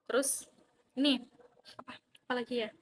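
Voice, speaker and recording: A woman's voice: high-pitched, steady tone, moderate pace, slight background noise. A young woman from East Java with an East Javanese Indonesian accent, chatting animatedly about student life. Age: young adult. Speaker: vlogger, university student. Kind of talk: casual chat.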